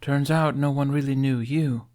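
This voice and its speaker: A man's voice: medium pitch, steady tone, mellow, pitched above the performer's own voice, natural voice. A proud young Viking shieldmaiden, voiced by a male narrator in a fantasy audiobook. The voice is lifted well above his own, emphatic and drawn out, defensive with an undertone of doubt. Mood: scornful.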